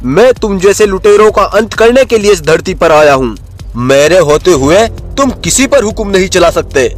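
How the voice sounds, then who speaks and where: A man's voice: high voice, expressive, normal speed, faint music behind. A man voicing a brave young male lion in an animal story, speaking in a declamatory, commanding way. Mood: defiant. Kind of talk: Hindi animal storybook voice acting.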